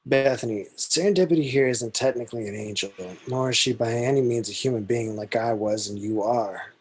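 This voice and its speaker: A man playing a blunt, wry dead apostle with a slightly husky voice, in a script table read. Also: mid-range voice, fairly quick, lightly acted. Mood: explaining.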